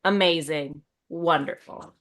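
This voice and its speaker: Effusive woman with a lowish voice. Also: slow, a bit bright. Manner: effusive, cheerleading, emphatic. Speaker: podcast co-host. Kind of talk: casual chat.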